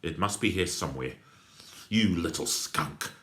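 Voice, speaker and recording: A man's voice: sits low, lively intonation, a little slow, a bit bright, roomy sound. A man voicing a sneering, snarling, bullying crooked policeman in a storybook reading. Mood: angry, threatening.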